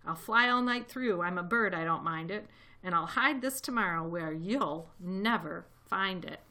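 A woman's voice: on the low side, normal speed, pushed and bright. A woman voicing a mean, sneering male storybook eagle with a curt, boastful delivery. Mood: dismissive.

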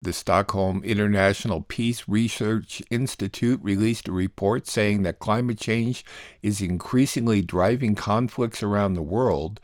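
A man's voice: on the low side, dry recording, clean studio sound. A man reading scripted introductions as host of a radio news program.

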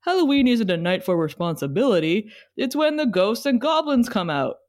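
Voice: medium pitch, very animated, moderate pace, a little mellow, close to an everyday voice. A performer playing an earnest, kindly young male teaching assistant in a comedic table read, mildly teasing. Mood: encouraging.

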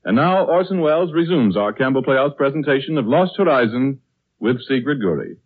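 A man's voice: medium pitch, animated, a little fast. A male radio announcer with a mid-range voice delivers polished, formal sponsor copy in 1930s style. The recording is thin and tinny, like a phone line. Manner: smooth salesmanlike formal delivery.

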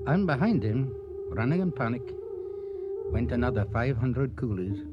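A man giving dramatic scripted radio narration in a grave, measured, theatrical manner. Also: medium pitch, big pitch swings. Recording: muffled radio recording, loud music behind.

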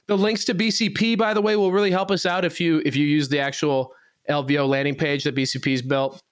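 A man co-hosts a casual chat, looping through event announcements with plenty of "um"s and restarts. His voice sits a little high and comes through smooth and clear, recorded dry and close. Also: fairly even intonation, a little fast, dry recording. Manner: rambling, plugs events with filler words. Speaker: co-host. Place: studio.

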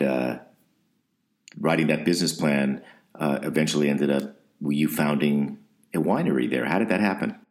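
A male podcast host with a very deep voice. He reads the sponsor copy smoothly, then asks questions in an easy conversational way. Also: darkish tone. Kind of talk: interview podcast.

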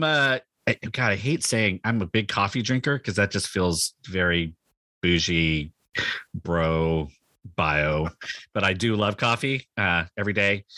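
A man's voice: fairly low pitch, bright, forceful tone, no room sound. A man in his fifties with a Californian accent talks casually in an interview, rambling and joking at his own expense. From Northern California, United States. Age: 50s. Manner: self-deprecating, jokey, rambling. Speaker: pastor, podcast guest.

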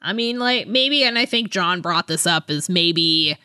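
Bright-voiced, slow-paced woman podcaster. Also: lowish voice, animated, clear, resonant tone, no echo, clean studio sound. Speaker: podcast co-host. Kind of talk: casual book discussion.

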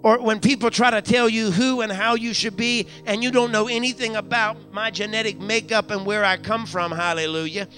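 A young pastor preaches to his congregation in a church sanctuary. His voice sits high and sounds bright and forceful, with soft music under him. He repeats lines for emphasis and drops in hallelujahs as he builds. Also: steady tone, normal speed, few pauses. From United States. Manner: preaching with frequent hallelujahs and call-outs to the congregation; repeats phrases for emphasis, building to raised climaxes. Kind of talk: live church sermon. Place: church sanctuary during a live service.

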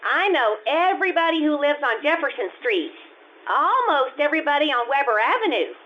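An earnest, insistent twelve-year-old girl played by a woman talks in a very high voice. She sounds proud and determined, in old-time radio drama style, on a slightly dull, lo-fi recording. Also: big pitch swings, brisk. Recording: muffled, lo-fi radio-play recording.

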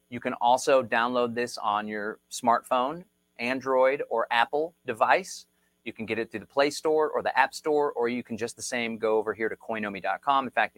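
A man in his thirties with a Texan drawl runs a live online tutorial. He talks in an energetic, conversational way and reads viewer comments aloud as he goes. His voice sits in a middle pitch, and the sound is dry with no room echo. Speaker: crypto instructor.

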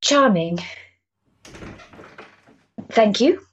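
Husky, sensible Victorian lady played by an actress. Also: on the high side, lively intonation, drawn-out words, muffled recording, exaggerated voice. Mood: polite, confiding. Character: sensible, kindly Victorian married woman, loyal friend. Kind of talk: period stage drama acting.

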